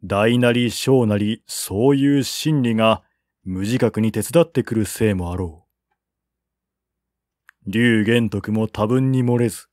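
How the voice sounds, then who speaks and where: Male narrator for literary historical fiction: unhurried, formal, mid-pitched, close dry recording. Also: darkish tone, no room sound. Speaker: audiobook narrator.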